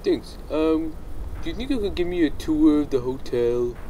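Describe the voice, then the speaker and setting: A man voicing a naive, excitable male alien warrior in a game machinima, talking in a childlike, goofy way. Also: on the high side, normal speed, a little hiss or hum, lightly acted, low-quality recording. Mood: dopey. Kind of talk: amateur game machinima acting.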